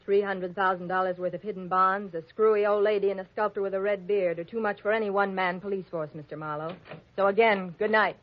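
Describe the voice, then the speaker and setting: Curt mystery woman, acted by a woman, brisk, muffled old radio sound. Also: medium pitch, fairly even intonation, close to an everyday voice. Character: mysterious young woman in trouble. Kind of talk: 1940s radio drama acting.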